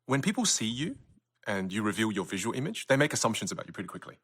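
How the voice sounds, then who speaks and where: Male interview guest, husky, expressive pitch, teacherly explainer. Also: fast talker. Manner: explanatory, acts out quoted reactions. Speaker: communication coach guest. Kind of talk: interview answers.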